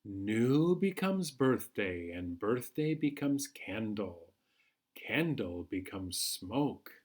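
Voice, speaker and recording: A man hosting a children's storytime, reading a picture book aloud in a measured, singsong way. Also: lowish voice, very animated, darkish tone. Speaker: children's storytime host at a library.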